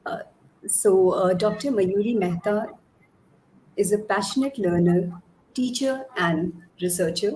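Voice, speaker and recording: A woman with an Indian accent hosting an event, formally reading out a speaker introduction in measured phrases. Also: mid-range voice, mellow, slight background noise, rough recording.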